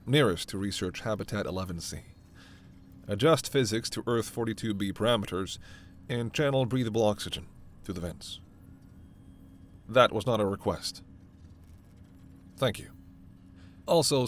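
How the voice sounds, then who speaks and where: Low-voiced, gentle alien doctor played by a man. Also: slight background noise, close to an everyday voice. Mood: firm, commanding. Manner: measured, formal, reassuring, soft-spoken asides. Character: gentle, authoritative male alien doctor, formal and protective. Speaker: voice performer. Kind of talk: sci-fi ASMR roleplay acting.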